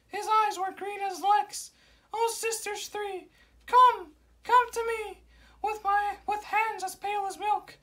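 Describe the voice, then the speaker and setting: A woman voicing a lovelorn maiden in an amateur puppet show, declaiming verse in an overwrought, drawn-out way. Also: very high-pitched, a bit bright, distant mic, exaggerated voice. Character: lovelorn melodramatic maiden Thisbe, a sock puppet.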